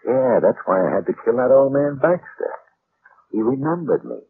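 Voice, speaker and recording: An actor playing a swaggering, sadistic killer in an old-time radio crime drama, talking in menacing tough gangster slang. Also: male voice, medium pitch, very animated, normal speed. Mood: gloating, menacing. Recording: tinny, noisy old radio recording.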